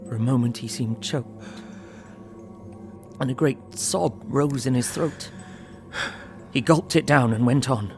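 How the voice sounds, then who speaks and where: Grave young husband, played by a male actor, measured diary narration for audio drama, troubled tone, music underneath. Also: mid-range voice, natural voice. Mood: pained, hushed. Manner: sombre, measured.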